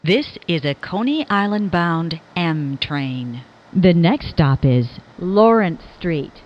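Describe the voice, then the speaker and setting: A woman with a slightly low voice delivers formal recorded subway announcements. Her pace is very slow and measured, each word clearly enunciated, with lively rises and falls in pitch. The recording sounds dull and lo-fi. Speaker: automated subway train announcer. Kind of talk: scripted transit announcement.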